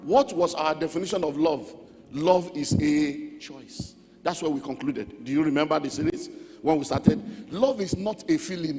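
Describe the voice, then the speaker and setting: Nigerian pastor preaching in an echoey hall. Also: male voice, a little high, a little mellow. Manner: emphatic, repeats key phrases, checks in with listeners. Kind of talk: sermon teaching on relationships. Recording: echoey hall with music underneath.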